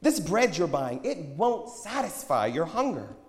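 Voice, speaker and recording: A man's voice: a little high, sing-song, measured pace, pitched above the performer's own voice. A man playing an impassioned prophet preaching in a reverberant hall. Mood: urgent, challenging. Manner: declamatory, pleading, pointed. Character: impassioned male prophet, tender yet challenging street preacher. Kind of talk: dramatized scripture storytelling. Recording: echoey hall with long reverb.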